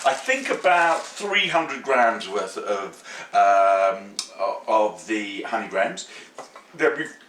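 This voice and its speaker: Excitable chatty man in an echoey kitchen. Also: lowish voice, takes it slowly, recorded from a distance. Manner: excitable, playful and chatty, jokes and rambles. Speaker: cooking show host. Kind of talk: chatty home cooking demonstration. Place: home kitchen.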